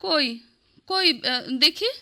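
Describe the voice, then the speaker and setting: An actress playing a gentle, anxious young granddaughter in a radio drama. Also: female voice, extremely high pitch, slow pace, creaky, exaggerated voice.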